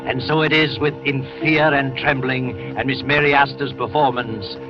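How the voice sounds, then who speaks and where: A man hosting and narrating a 1940s radio drama in a measured, ominous, theatrical way. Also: medium pitch, fairly even intonation. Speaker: host and narrator. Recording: dull old radio broadcast with music.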